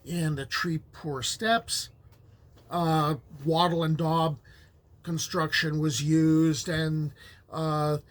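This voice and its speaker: A man's voice: a little high, level tone, very slow. A middle-aged man who grew up speaking Ukrainian at home, giving a casual, rambling lecture on folklore as a history teacher, with many fillers and restarts. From United States.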